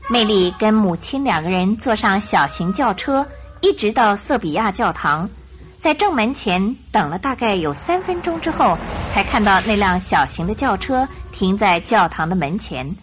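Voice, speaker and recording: A woman narrator tells a scripted radio drama story. Her intonation is very animated and moves widely in pitch, with music underneath. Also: normal speed.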